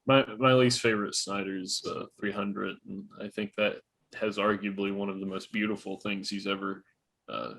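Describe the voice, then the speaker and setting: Male film podcast co-host, forceful bright tone with husky edge, conversational. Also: on the low side, level tone, moderate pace. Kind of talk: casual film chat. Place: remote video call.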